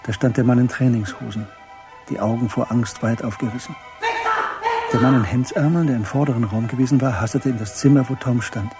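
A man narrating a scripted radio drama in a measured, matter-of-fact way. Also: medium pitch, steady tone, over music.

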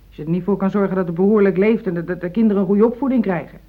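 A woman's voice: medium pitch, very fast, natural voice. Radio drama: female actor as pragmatic housewife, loyal and pushy in her reassurance, mid-range voice, dull vintage recording. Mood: indignant. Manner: insistent, reassuring. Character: loyal, ambitious middle-aged housewife, pragmatic, supportive of husband. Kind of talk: Dutch radio play acting.

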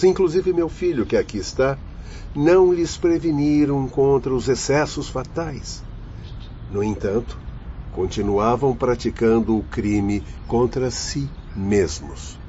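A man acting in a radio drama as a grave doctor spirit with a Brazilian accent, solemn and measured as he condemns human vice. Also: mid-range voice, warm tone, close to an everyday voice. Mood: reproachful, lamenting. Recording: muffled lo-fi audio with faint noise.

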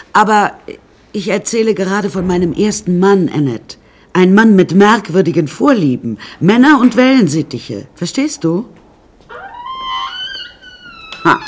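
Theatrical, animated woman telling her story. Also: sits low, slight background noise. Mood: breezy, confiding. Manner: theatrical, chatty, wry storytelling with asides. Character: flamboyant, unsinkable woman recounting her many husbands. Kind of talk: radio play first-person narration.

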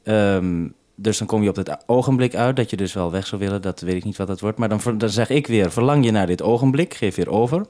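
A man's voice: lowish voice, brisk, few pauses, dark tone. A man talking unscripted as a radio host over a two-way link, businesslike and explanatory with some hesitation.

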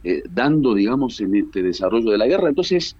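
A man's voice: fairly low pitch, very animated, fairly quick, warm tone, breathy edge, no echo, muffled recording. A man from Argentina with an Argentine Spanish accent, giving emphatic, repetitive political commentary as an analyst guest over a remote radio link. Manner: emphatic, builds points by repetition.

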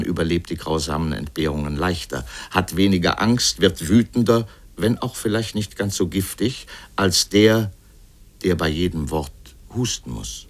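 Deep voiced guy reading a literary radio essay, kinda sardonic and emphatic. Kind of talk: scripted literary radio narration.

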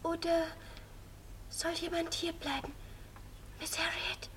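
Child medium in vintage German radio drama, tiny very high voice, halting trance delivery, slight background noise. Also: female voice, little pitch movement, heavily acted. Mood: entranced, solemn. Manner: hushed, halting, trance-like questioning and repeating. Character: young girl medium, Betty, relaying a ghost's messages. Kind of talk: 1950s German radio play acting. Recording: faint noise, 1950s radio studio recording.

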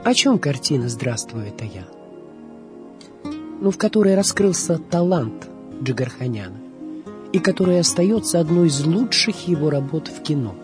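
A woman's voice: sits low, very animated, normal speed, music in the background. A woman reading a scripted documentary narration as the narrator of a radio programme. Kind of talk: scripted documentary narration about film and theatre.

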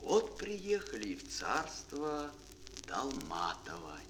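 A man's voice: mid-range voice, huge pitch swings, slow, fairly bright tone, a little hiss or hum. A man narrating a children's fairy tale in a dramatic, declamatory way, lingering on key words. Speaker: storyteller, narrator. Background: music.

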